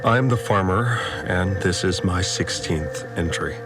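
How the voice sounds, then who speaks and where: A low-voiced farmer confides in an audio diary for a science fiction drama. He is troubled, devout and obedient, halting and repeating words as he confesses. Music plays clearly beneath him. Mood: solemn, devout.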